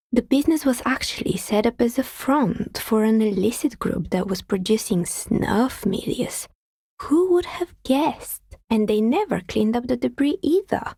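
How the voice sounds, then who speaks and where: Husky, wry dicemaker with creaky voice. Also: female voice, medium pitch, a little mellow, no room sound, heavily acted. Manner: dry, understated, matter-of-fact. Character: wry, world-weary woman artisan who makes novelty dice. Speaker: video game character, dicemaker. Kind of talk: video game character dialogue.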